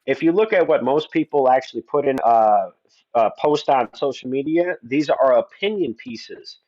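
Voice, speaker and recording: A man's voice: medium pitch, lively intonation, moderate pace, a little mellow. Earnest man in his forties, muffled lo-fi line. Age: 40s. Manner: earnest, talks at length with restarts. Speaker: guest. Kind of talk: opinionated conversation.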